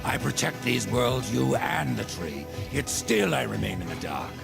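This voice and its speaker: An actor playing a stern, weary, distrustful supernatural warrior in a fantasy video game, speaking tersely. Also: male voice, mid-range voice, big pitch swings, unhurried, creaky, music in the background, full character voice. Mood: bitter.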